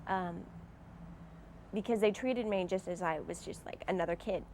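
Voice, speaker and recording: A woman's voice: fairly low pitch, moderate pace, a little mellow, slight background noise. A young woman recounting her own experience candidly in an interview. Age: young adult. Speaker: interviewee, former foster youth.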